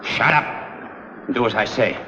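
A man's voice: lowish voice, expressive, slow, sharp tone, heavily acted. An actor playing a bullying male smuggler's henchman, curt and barking, in 1950s drama style. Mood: threatening. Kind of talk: 1950s television drama acting. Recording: echoey, muffled, noisy vintage soundtrack.

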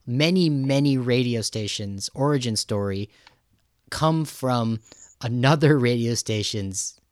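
A man's voice: medium pitch, a little slow, darkish tone, no room sound, clean studio sound. A man hosting a casual conversational interview, talking in a rambling, enthusiastic and self-deprecating way. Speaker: radio show host.

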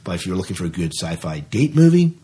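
A man's voice: deep voice, sing-song, fast talker, mellow, slight background noise. A man co-hosting a podcast, talking casually about films and reading out reviews. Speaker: podcast co-host.

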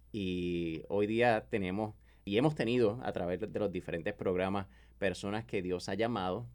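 Man, podcast host, lowish voice, affirming. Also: a little mellow. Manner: affirming, many backchannels. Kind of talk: podcast interview.

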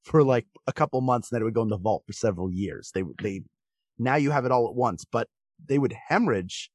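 A man's voice: mid-range voice, fairly quick, a little mellow, breathy edge, no echo, clean studio sound. A man talking casually as a guest on a podcast.

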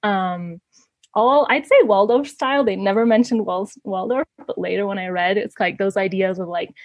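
A woman's voice: mid-range voice, moderate pace, dry recording, lo-fi sound. A woman in her thirties from Canada, chatting as an interview guest, laughing often between fillers and restarts. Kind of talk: casual conversational storytelling.

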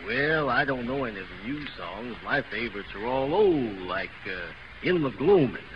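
An actor playing a polite, bashful and earnest suitor with halting, courteous speech in 1930s radio drama style. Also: male voice, medium pitch, animated, a crowd in the background, lightly acted. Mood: bashful, friendly. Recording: muffled, noisy 1930s radio broadcast.

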